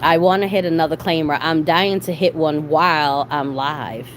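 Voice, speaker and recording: A middle-aged woman with a fairly low voice chats casually to her live viewers. She talks in a salty, slangy way and swears freely. There is clear background noise in the recording. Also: fairly even intonation, moderate pace. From Boston, USA. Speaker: livestream host.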